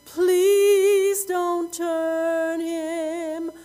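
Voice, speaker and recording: Female gospel soloist, raspy today, soaring high and very slow, faint accompaniment underneath. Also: completely flat delivery, dark tone, soft background music. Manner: sustained, drawn-out sung phrases. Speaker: gospel singer. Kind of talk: slow solo hymn singing.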